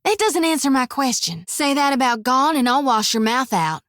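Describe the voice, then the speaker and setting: A woman voicing a folksy, devout young priestess in a video game, with an earnest, expressive Southern US drawl. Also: high voice, normal speed, no room sound, clean studio sound. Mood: plucky, wry. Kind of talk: video game character voice lines.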